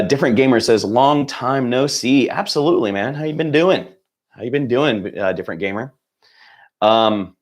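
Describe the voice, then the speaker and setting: A young man with a very low voice runs a relaxed livestream chat from his home setup. He sounds hoarse, as if he is close to losing his voice. He rambles in an upbeat way, full of fillers and false starts. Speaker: channel host.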